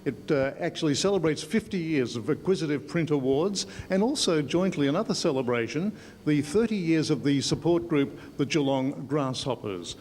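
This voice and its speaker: A man's voice: fairly high pitch, expressive, moderate pace, a little mellow. A man with an Australian accent, working as a television reporter and doing an on-location interview in a large indoor space. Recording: echoey hall with clear background noise.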